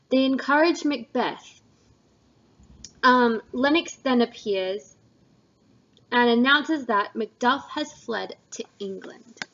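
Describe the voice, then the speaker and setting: A woman teacher narrating a lesson summary in a measured, explanatory way. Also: fairly high pitch, a bit bright, dull audio.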